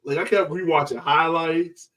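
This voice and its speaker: Hyped guy on a fan podcast, kinda high voice, slangy and sweary, bit far from mic. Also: moderate pace. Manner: slangy, excited, profane, repeats phrases for emphasis. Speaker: podcast host. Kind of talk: casual sports fan podcast chat.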